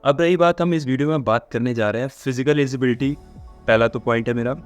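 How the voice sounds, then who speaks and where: A man's voice: mid-range voice, a little fast, smooth, soft background music. A man hosts an informal explainer for exam candidates, mixing in English words as he talks. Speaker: host of a job updates channel.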